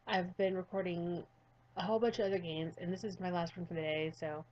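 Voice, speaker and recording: A woman's voice: lowish voice, fairly even intonation, a little fast, darkish tone, highs cut off. A woman reading a visual novel aloud with rambling, chatty commentary from a home desk mic. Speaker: gaming youtuber.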